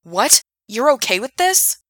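A woman voicing a self-righteous young male park worker who shouts indignantly. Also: sits high, moderate pace, no echo, clean studio sound.

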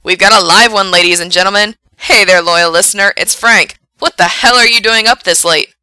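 Woman voicing an excitable, loud young male radio DJ. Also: medium pitch, moderate pace, no room sound. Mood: upbeat, projecting. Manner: enthusiastic, teasing, opinionated. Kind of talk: radio DJ patter in an audiobook story.

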